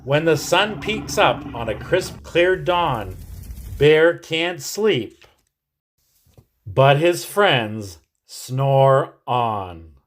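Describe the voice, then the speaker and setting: A man teacher reading a children's picture book aloud in an expressive, drawn-out storytelling manner. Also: fairly high pitch.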